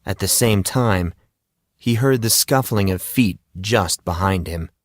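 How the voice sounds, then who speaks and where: Slow, low-voiced man narrating fantasy. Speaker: audiobook narrator. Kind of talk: dramatic scripted fantasy narration.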